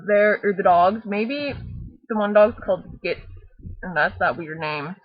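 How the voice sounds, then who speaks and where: A woman with a slightly husky voice casually reading a picture book aloud, rambling into playful, mock-dramatic chatty asides on a laptop microphone. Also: mid-range voice, a little slow, dry recording, soft background music. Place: at home, close to a laptop on a bed. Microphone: laptop webcam microphone. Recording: muffled lo-fi laptop webcam audio.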